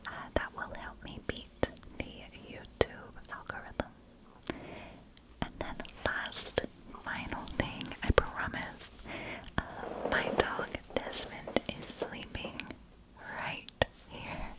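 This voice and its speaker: A woman hosting a storytelling channel in a soft ASMR whisper, with gentle, deliberate phrasing. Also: low-quality recording. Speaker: host of a spooky storytelling channel.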